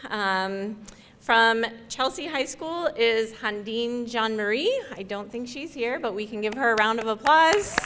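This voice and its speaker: Woman presenter reading award names; bright, forceful tone, casual delivery, faint hiss behind. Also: a little high, lively intonation. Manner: friendly, informal, with filler pauses. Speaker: program staff presenter. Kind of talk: announcing awards at a ceremony. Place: event hall.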